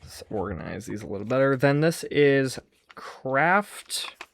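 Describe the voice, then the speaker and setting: A man's voice: mid-range voice, big pitch swings, a little slow, gravelly, slight background noise. A man hosting a casual, unscripted show-and-tell of his collection, rambling and musing aloud as he goes. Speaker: host showing a music cassette collection.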